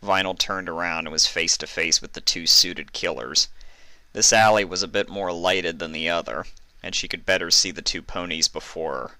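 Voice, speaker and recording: A man's voice: low voice, steady tone, moderate pace, bright, forceful tone, no room sound. A man reading fan fiction aloud as dramatic scripted story narration.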